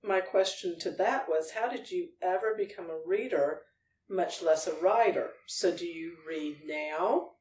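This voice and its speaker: A woman's voice: on the low side, a little slow, a little mellow. A woman hosting a podcast, asking interview questions in a casual conversational style. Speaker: guest host, interviewer. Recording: distant, echoey room, faint noise.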